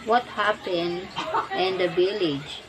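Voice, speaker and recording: A woman's voice: fairly low pitch, takes it slowly, a crowd in the background, poor audio. A woman putting short comprehension questions to a young reader, like a teacher. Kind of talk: asking reading comprehension questions.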